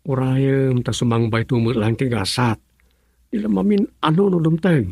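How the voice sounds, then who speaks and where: Down-on-his-luck radio drama husband: husky, creaky, lowish voice, pleading and devoted. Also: moderate pace, darkish tone, no echo. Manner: pleading, defensive. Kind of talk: Ilocano radio drama acting.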